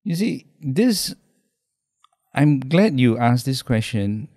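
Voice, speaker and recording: A man's voice: medium pitch, very animated, takes it slowly, mellow, no room sound, clean studio sound. A man with a Malaysian accent, a guest podcaster talking thoughtfully and mixing English with Malay. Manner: thoughtful, mixes English and Malay, lah and kan tags. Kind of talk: podcast discussion. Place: podcast studio.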